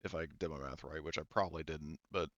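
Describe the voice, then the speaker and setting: A man's voice: a bass voice, fast, fairly bright tone. A man speaking casually as game master of a tabletop role-playing game, hesitant and thinking aloud. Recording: dull, roomy lo-fi voice recording.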